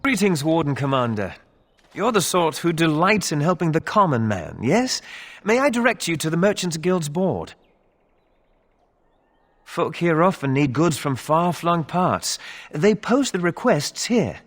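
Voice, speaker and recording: A man's voice: fairly high pitch, very animated, unhurried, long reverb tail. Lilting, courteous male town agent. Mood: courteous, persuasive. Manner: courteous, formal sales patter. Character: polite, obliging male town merchants' agent. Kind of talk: video game dialogue acting.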